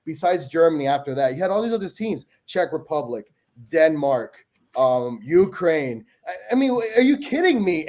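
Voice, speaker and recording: A man from Peru with a high voice argues football on a podcast. He speaks with animated emphasis and restarts phrases in his excitement. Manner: emphatic, animated, repeats himself when excited. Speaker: podcast panelist. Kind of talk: casual sports chat.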